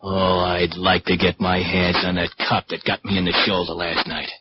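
A very low-voiced, leering gangster played by a man in an old radio drama, sardonic and threatening. The sound is dull, with clear noise and clicks from a 1940s recording. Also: flat delivery, normal speed, close to an everyday voice. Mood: bitter. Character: wounded male gangster holding a hostage, leering and menacing.

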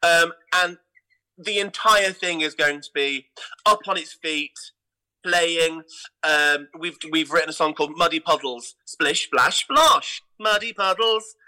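A man with a very bright, forward voice pitched on the high side. He chats casually as an interview guest, with an enthusiastic and effusive manner, like a theatre director keen on his work. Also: unhurried, poor audio.

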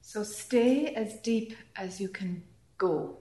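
A woman leading a guided meditation calmly and gently, in short phrases with long pauses between them. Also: mid-range voice. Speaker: meditation teacher. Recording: echoey room.

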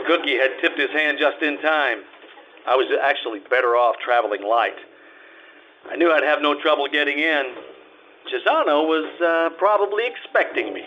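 A man playing a wry, deadpan private detective in old-time radio style. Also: mid-range voice, dull audio, a little hiss or hum, natural voice. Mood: wry narration. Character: wry, hard-boiled male private detective.

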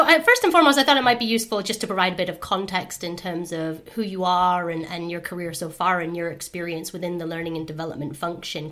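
Woman podcast host, mixed American-Irish accent, bubbly and enthusiastic, slightly low voice. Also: a bit bright. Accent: mixed American and Irish-sounding. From United States. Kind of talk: casual podcast interview.

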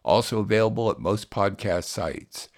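A man's voice: lowish voice, lively intonation, normal speed. A man reading scripted narration as the host of a radio programme.

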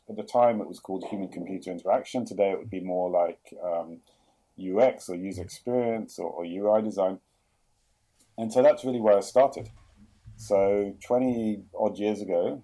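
Middle-aged London man, podcast guest, low and very dark tone, hesitant and filler-heavy. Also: slightly rough, rough recording. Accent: London English. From England. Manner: hesitant, many fillers and restarts. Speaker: guest, product executive. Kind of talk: conversational podcast interview.

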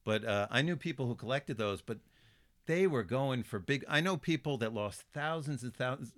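A man's voice: mid-range voice, expressive, normal speed, a bit bright. A man co-hosting a casual podcast chat, asking questions and finishing the other speakers' sentences. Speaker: podcast co-host.

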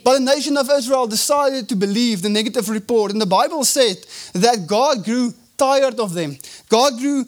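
A South African man whose first language is Afrikaans preaches a sermon in a strikingly high-pitched voice. He leans hard on key phrases, drawing them out slowly, and often restarts his sentences mid-thought. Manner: preaching with emphatic drawn-out phrases and frequent restarts. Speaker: pastor.

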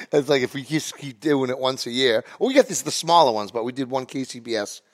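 A man's voice: mid-range voice, a little fast. A man from New England co-hosting a casual podcast, bantering and telling stories in a jokey, salty way. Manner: jokey, profane, animated storytelling.

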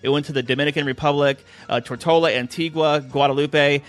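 A man's voice: a little high, steady tone, fairly quick. A man hosting a cruise talk radio show, chatty and upbeat with frequent fillers, interviewing a guest.

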